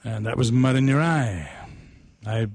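A man hosting a radio show, talking casually and somewhat haltingly, often repeating words as he goes. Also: medium pitch, expressive, gravelly, a little mellow, dry recording. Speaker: radio show host and writer-performer. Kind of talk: casual on-air conversation.

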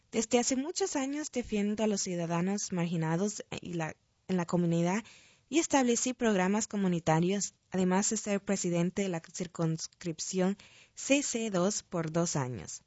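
The woman you would hear reading an official printed guide aloud for an audio edition, formal and measured, in a small dead-sounding booth with slightly dull sound. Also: medium pitch, darkish tone, dry recording. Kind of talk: scripted narration, read-aloud questionnaire.

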